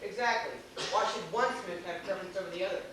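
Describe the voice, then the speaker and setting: Distant, echoey, pompous older gentleman man acting. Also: sits high, quick pace, lightly acted. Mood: pompous, unruffled. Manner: pompous, precise, formal. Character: smug, unruffled older gentleman, rival suitor. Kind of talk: amateur one-act comedy stage acting. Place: theater stage, recorded from far off. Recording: very echoey, distant, noisy stage video.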